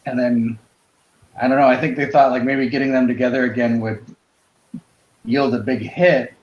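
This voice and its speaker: A man's voice: medium pitch, steady tone, darkish tone, slight background noise. Man chatting in an echoey, muffled recording. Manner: tentative, halting explanations. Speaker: panelist on a comics drawing show. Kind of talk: casual chat about comics history.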